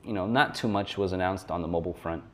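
A young man from South Florida, a tech journalist guest on a podcast, talking in a relaxed, rambling way and laughing often. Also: low-pitched, fast talker. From South Florida, USA. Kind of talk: casual conversation.

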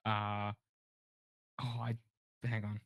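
A man's voice: on the low side, monotone, slow pace, a bit bright, clean tone, dry recording. A man co-hosting a comedy podcast, talking in a rambling, self-deprecating way. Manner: rambling, self-deprecating, full of fillers and restarts. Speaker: podcast co-host. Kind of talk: casual comedic chat.

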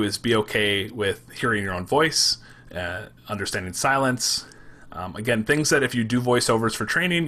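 A man with a Michigan accent who talks fast and runs his words together, chatting about making podcasts. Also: fairly low pitch, pushed and bright. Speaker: podcast creator. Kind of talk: casual panel chat.

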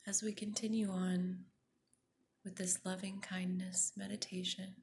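Woman, meditation guide, very unhurried, even intonation, soft breathy near-whisper, soothing, noticeable background noise. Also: on the low side. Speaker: meditation guide, podcast host.

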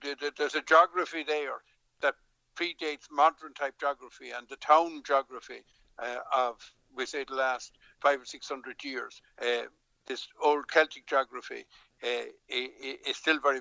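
A man's voice: medium pitch, fairly even intonation, moderate pace, gravelly, bright, forceful tone, dry recording, lo-fi sound. A middle-aged Irish man, a politician, talking in a remote interview with frequent hesitations, restarts and a storytelling manner. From Dublin, Ireland.

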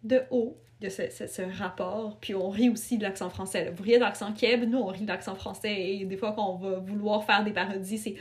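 A young adult woman with a Québécois French accent, a writer chatting as a podcast guest, talking casually with frequent fillers and quick laughs. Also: medium pitch, fairly even intonation.

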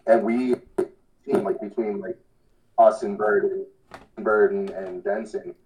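A man with a low, dark and relaxed voice talks casually as an interview guest. He rambles earnestly through his answers in loose, slangy speech. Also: slow pace, low-quality recording. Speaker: podcast guest, hardcore band vocalist.

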